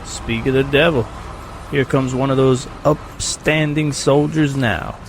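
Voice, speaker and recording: An actor playing a cocky, joking mercenary fighter in an audio drama. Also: male voice, mid-range voice, fairly even intonation, unhurried, a little hiss or hum, close to an everyday voice. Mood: wary.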